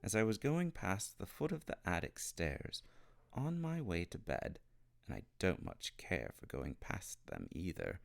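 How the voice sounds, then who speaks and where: A man's voice: deep voice, huge pitch swings, measured pace, creaky, heavily acted. A man voicing a miserable, defiant young boy in a storybook, with a plaintive, insistent manner. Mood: secretive, earnest. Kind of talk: storybook character reading.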